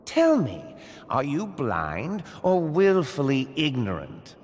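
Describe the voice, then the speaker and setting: A man's voice: fairly high pitch, huge pitch swings, takes it slowly, big-room sound, soft background music, heavily acted. A man voicing a scheming, condescending wealthy merchant lord in a game cutscene, drawling and theatrical. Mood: contemptuous.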